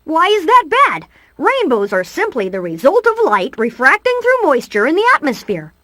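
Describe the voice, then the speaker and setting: High-voiced brainy cartoon boy. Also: wildly swooping pitch, normal speed, a bit bright, no room sound, clean studio sound, exaggerated voice. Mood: skeptical. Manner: pedantic, matter-of-fact. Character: brainy, skeptical, pedantic cartoon boy genius. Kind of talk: cartoon video game acting.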